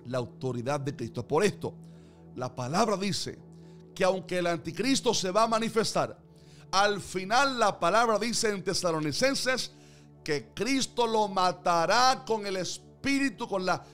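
Male preacher for a Bible sermon, slightly high-pitched, earnest and declamatory, with faint music underneath. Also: lively intonation, a little slow, a bit bright. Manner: emphatic, repetitive phrasing in building lists.

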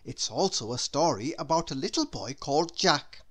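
A woman reading a children's story aloud in a deliberate, expressive way, as if to a young listener. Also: low-pitched. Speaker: storybook reader. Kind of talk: scripted children's story narration.

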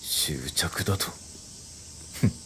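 An actor playing a proud, obsessive samurai traitor in a theatrical, brooding way for a video game. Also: male voice, takes it slowly, noisy background, lightly acted. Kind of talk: dramatic Japanese video game acting. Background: loud music.

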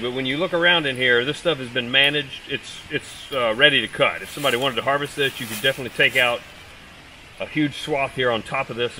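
A man's voice: mid-range voice, bright, forceful tone, a little hiss or hum. A middle-aged man casually showing a wooded property outdoors, upbeat and informal like a salesman. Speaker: real estate agent. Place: outdoors in woods by a creek. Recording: distant outdoor camera audio with guitar music.

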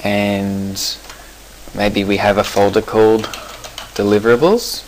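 Man, lowish voice, screencast tutorial narration, relaxed, explanatory, some fillers. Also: slow, a little hiss or hum, low-quality recording. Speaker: software tutorial presenter. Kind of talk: screencast software walkthrough.

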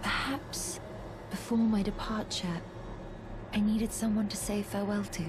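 An actress playing a soft-spoken, melancholy young woman traveller who talks in a hushed, wistful way. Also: medium pitch, level tone, unhurried, close to an everyday voice. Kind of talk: video game voice acting. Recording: game cutscene with ambient noise.

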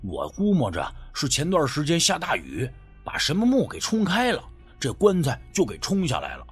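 A man's voice: on the high side, wildly swooping pitch, moderate pace, breathy edge, faint music behind, full character voice. A man voicing a sly, teasing, superstitious friend who wheedles and drawls in an audiobook story. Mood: earnest, insisting.

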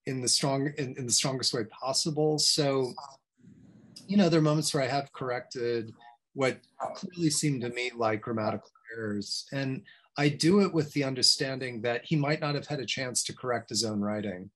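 A man's voice: mid-range voice, normal speed, a bit bright, poor audio. A middle-aged man in an academic discussion on a video call, speaking reflectively and hesitantly, often restarting phrases. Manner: reflective, hesitant, repeating himself. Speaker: guest scholar. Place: small home study, indoors. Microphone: video call, computer mic.

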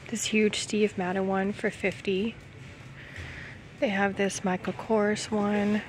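A woman in her thirties giving casual item-by-item vlog commentary while shopping, filmed on a handheld camera. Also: mid-range voice. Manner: unhurried, item-by-item commentary with pauses. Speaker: vlogger. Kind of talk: casual shop-with-me vlog narration. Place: retail store aisles, some in a parked car. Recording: clear background store noise and ambience.

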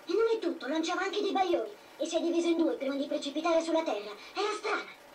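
A spirited young heroine from an old anime dub. She speaks very high and fast, a little distant, over faint noise. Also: animated. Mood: excited. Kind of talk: dubbed 1970s anime acting.

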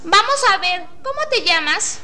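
A woman playing a young, brisk, reassuring hospital nurse in a student radio drama. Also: very high-pitched, expressive, pushed and bright, heavily acted. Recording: faint noise, amateur radio drama recording.

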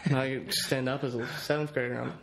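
Easygoing young man with gravelly voice. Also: mid-range voice, level tone, brisk, fairly bright tone, slight background noise. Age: 20s. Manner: easygoing, repeats words while telling stories. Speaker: guest, college quarterback. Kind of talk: casual interview storytelling. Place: podcast studio at night, indoors. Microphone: studio mic on boom arm.

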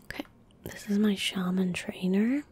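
A woman in her twenties speaking in a breathy, gentle whisper close to the mic, giving unhurried ASMR commentary as she plays a game. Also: mid-range voice. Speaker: ASMR gaming streamer. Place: indoor room at a desk. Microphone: close desk microphone.

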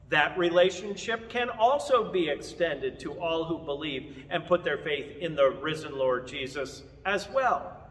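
An older man preaching an Easter sermon from a lectern in a large church, earnest and measured. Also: high-pitched, fairly bright tone. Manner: measured, earnest preaching with open-hand gestures. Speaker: pastor. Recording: long reverb of a big church hall.

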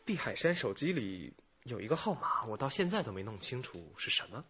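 An actor playing a keen, insistent junior police detective in a radio drama. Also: male voice, mid-range voice, very animated, a little fast, background noise. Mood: puzzled, keen. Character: keen junior male detective puzzling over a clue. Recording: dull, muffled lo-fi audio.

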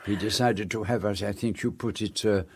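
An actor playing a fastidious middle-aged detective in a radio play, precise and slightly fussy. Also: male voice, fairly low pitch, fairly even intonation, husky. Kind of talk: 1960s radio drama acting.

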